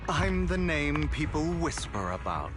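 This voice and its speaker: An actor playing a suave, sardonic gentleman thief and con artist in a video game, with a grandiose, silky, deliberate delivery. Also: a little high, sing-song. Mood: theatrical, gloating. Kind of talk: dramatic video game voice acting. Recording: background music under voice.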